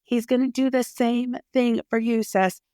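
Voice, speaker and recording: A woman's voice: a little high, fairly even intonation, measured pace, warm tone, clean tone, dry recording, clean studio sound. A woman in her forties hosting a podcast, giving an earnest, emphatic faith-based motivational talk, speaking intimately to the listener and repeating phrases for stress. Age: 40s. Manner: addresses listener intimately as sis. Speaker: podcast host and dating coach.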